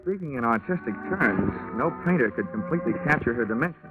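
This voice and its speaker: A man playing a wisecracking, sleepy insurance investigator in a deadpan 1940s radio detective drama style. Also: mid-range voice, quick pace, narrow, tinny audio, close to an everyday voice. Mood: wry narration. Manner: wry, deadpan. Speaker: narrator and lead detective character. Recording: band-limited vintage 1940s radio recording, music.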